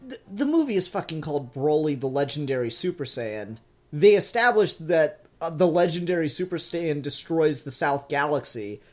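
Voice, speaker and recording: A man's voice: sits high, a little mellow. Emphatic, sweary guy talking fandom. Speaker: podcast co-host. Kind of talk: casual fan discussion.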